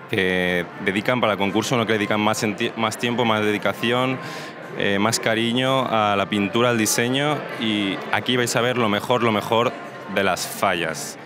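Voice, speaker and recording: A man, vlogging a walking tour of a crowded indoor exhibition hall. He talks eagerly and straight to his viewers into a handheld mic held at his mouth. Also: lowish voice. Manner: enthusiastic, addresses viewers directly. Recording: music playing under the voice.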